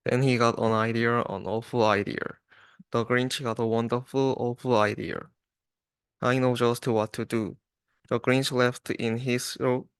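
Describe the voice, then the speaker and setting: A young adult man, a student, reading a rhyming storybook aloud with halting restarts. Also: medium pitch, clear, resonant tone, no echo.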